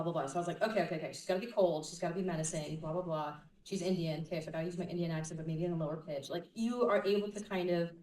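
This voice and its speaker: A woman with a lowish voice talks an audience through her working process, with plenty of examples. She sounds far off from the mic, in a big, echoey convention room. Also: a little mellow. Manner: thoughtful, walks through examples. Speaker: voice actor on a panel. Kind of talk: explaining the craft to an audience.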